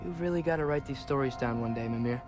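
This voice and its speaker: A man's voice: medium pitch, quick pace, music in the background, natural voice. A man playing an earnest, curious teenage son in a video game, talking in a casual, inquisitive way. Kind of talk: naturalistic video game acting.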